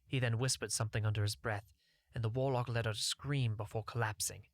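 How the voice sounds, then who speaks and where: A man's voice: mid-range voice, fairly even intonation, a little fast, no echo, clean studio sound. A man narrating a first-person fantasy audiobook in a scripted storytelling style.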